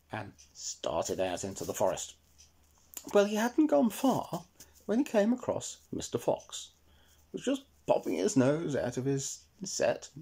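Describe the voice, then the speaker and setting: A man tells a story for children. His voice is husky and warm in tone, and it swoops expressively from high to low.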